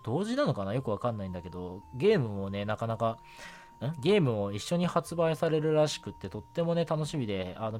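A man hosting a casual solo radio show, talking in a chatty, rambling way and often interrupting himself. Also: medium pitch. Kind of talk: casual solo radio chat about anime.

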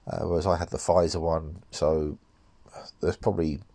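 A deep-voiced man in middle age, sounding nasal and a little worn from a cold. He talks in a measured, rambling way, often correcting himself as he goes. The recording is dry and close, with no room sound. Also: level tone, warm tone, no echo. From United Kingdom. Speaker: podcast host. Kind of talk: solo podcast monologue.

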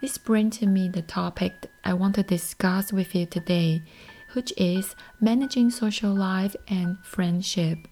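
Soft-spoken Taiwanese woman, mellow voice. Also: medium pitch, steady tone, measured pace. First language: Mandarin. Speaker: podcast host. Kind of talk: scripted personal monologue.

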